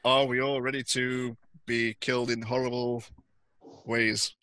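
A man's voice: medium pitch, flat delivery, measured pace, pushed and bright, no echo. A young man narrating a tabletop roleplaying scenario as the game master, on an indoor call. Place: indoor room on a video call.

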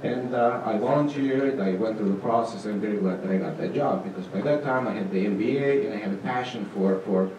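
A man's voice: on the low side, brisk, few pauses, darkish tone, lo-fi sound. Male presenter, middle-aged, dry and informal delivery, echoey and distant from the mic. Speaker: conference presenter, state agency manager. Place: auditorium stage. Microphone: handheld microphone, recorded from far camera. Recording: echoey hall, distant, noisy room sound.

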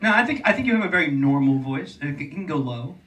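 A young man co-hosting a live podcast on a club stage, teasing his partner with quick side remarks and frequent laughs. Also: a little high, animated, fairly bright tone, recorded from a distance. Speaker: podcast co-host. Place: comedy club stage before an audience. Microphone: handheld mic.

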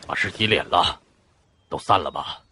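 Deep, raspy stern emperor. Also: male voice, moderate pace, pushed and bright, heavily acted. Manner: imperious, terse. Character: stern, commanding adult male emperor.

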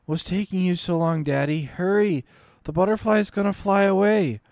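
A man voicing a dying young woman who talks like a small child in her fever, with a high, pleading voice. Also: moderate pace, a little mellow, dry recording, studio quality, natural voice. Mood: pleading, delirious.

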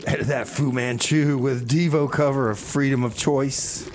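A man's voice: mid-range voice, fairly even intonation, moderate pace, music in the background. A man casually hosting community radio with comedic banter, often repeating his phrases.

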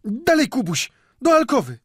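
A man voicing a protective, rustic caretaker woman in an audiobook, shouting commands in folksy dialect. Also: extremely high pitch, huge pitch swings, moderate pace, husky, no echo, studio quality, pitched above the performer's own voice, full character voice. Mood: urgent, commanding.

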